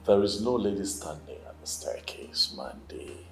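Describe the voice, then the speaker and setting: A tired, dismissive king. His voice sits extremely low and he talks down to whoever is in front of him. Soft music plays behind. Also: expressive, normal speed. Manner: dismissive, impatient. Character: weary dismissive male king, middle-aged.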